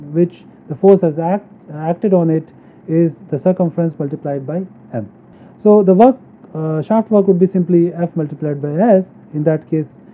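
A narrow, phone-like recording carries a man's lecture. He teaches engineering in an explanatory way, moving step by step. His delivery is halting as he works through each point. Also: high voice. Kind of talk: explanatory technical lecture over slides.

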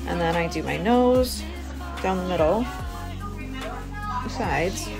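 A woman's voice: on the low side, expressive, slow. A woman in her thirties giving a casual, chatty makeup tutorial, explaining informally as she works. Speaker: beauty vlogger. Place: small cabin room by a window. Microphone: phone at arm's length. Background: music.

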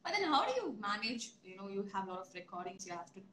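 A young woman radio host interviewing a guest over a video call from home, asking excited, halting questions with lots of laughter. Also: medium pitch. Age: young adult. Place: home room, indoors. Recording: faint background noise on a video call.

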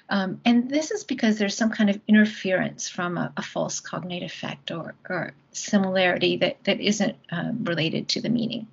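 A woman's voice: mid-range voice, creaky, breathy edge. A woman giving an academic lecture over a video call, talking with many ums and restarts. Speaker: guest lecturer. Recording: dull lo-fi video call audio.

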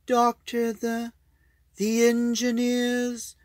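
A man voicing a solemn, ceremonious alien lawgiver in an audio drama retelling, with drawn-out, halting formal delivery. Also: very high-pitched, completely flat delivery, no echo, voice pushed up, exaggerated voice.

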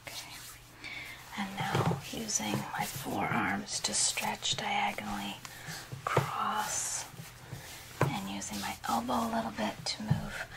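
A woman in her thirties whispering breathily in a soothing, instructive ASMR massage role play. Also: medium pitch. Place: small indoor room. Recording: clearly noisy, with rubbing sounds.

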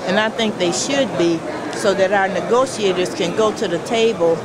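A woman union delegate giving earnest commentary on community issues, halting as she searches for words. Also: medium pitch, poor audio. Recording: loud busy crowd noise behind. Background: loud crowd in a busy public space.

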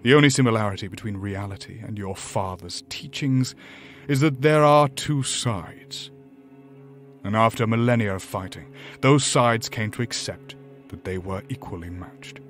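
A grave otherworldly demon, played by a man tells cosmic lore in a fairly deep voice. The delivery is formal, courtly and measured, as in a horror audio drama, with music clearly behind it. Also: lightly acted. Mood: solemn. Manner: formal, deliberate, solemn, eloquent. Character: grave, courtly demon from a higher dimension, ancient cosmic storyteller.

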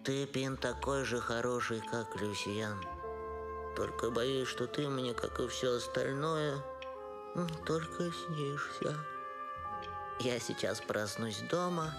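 A man voicing a timid, polite young cartoon hedgehog, speaking in a gentle, hesitant and naive way. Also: mid-range voice, slow pace. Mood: sleepy, wistful. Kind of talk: animated film character acting. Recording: prominent film music behind.

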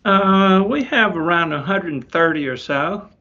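An elderly man with a bright, fairly high voice talks slowly as a guest. He is polite and a little halting, heard over a dull video call. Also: animated. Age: 70s or older. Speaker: company head, guest. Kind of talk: casual chat on a live show. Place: wood-panelled office, indoors. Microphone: tablet at arm's length on video call.

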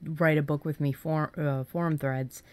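A woman's voice: low-pitched, steady tone, moderate pace, a little mellow, smooth, no room sound. A woman co-hosting a podcast in a casual, informal, somewhat rambling conversational style. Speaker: podcast co-host. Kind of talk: casual chat.

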